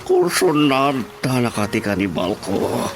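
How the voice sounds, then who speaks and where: A man's voice: medium pitch, sing-song, unhurried, background noise. A man playing an exaggerated, exclamatory comic fellow in a radio drama. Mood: agitated. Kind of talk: radio comedy drama acting. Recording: clear crowd sound behind.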